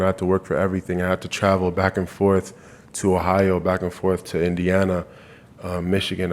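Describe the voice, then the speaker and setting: Low, flat-voiced young man from Canada. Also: slight background noise. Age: 20s. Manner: earnest, repeats and restarts phrases. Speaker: college football player. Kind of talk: press conference answers. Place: media day press room.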